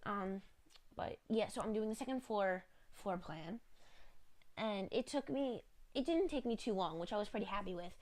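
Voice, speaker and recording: A woman's voice: mid-range voice, lively intonation, normal speed, a little mellow. A woman with a slightly congested voice, a little sick, narrating a home-building game video in a rambling, apologetic, chatty way with lots of fillers.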